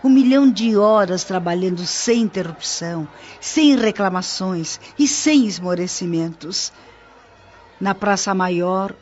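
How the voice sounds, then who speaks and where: A Brazilian actress playing a kindly, reverent female spirit helper who tells stories to a newcomer in a measured radio-drama manner. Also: on the low side, a little mellow, slight background noise, lightly acted. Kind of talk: radio drama acting, storytelling. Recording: dull lo-fi radio drama over music.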